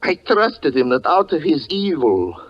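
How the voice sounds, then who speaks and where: Tormented magician, wildly swinging pitch, old radio. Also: male voice, big pitch swings. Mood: grief-stricken. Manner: theatrical, intense, halting dramatic pauses. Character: tormented stage magician confessing jealous obsession, anguished and brooding. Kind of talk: 1940s radio suspense acting. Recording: muffled 1940s radio broadcast with music.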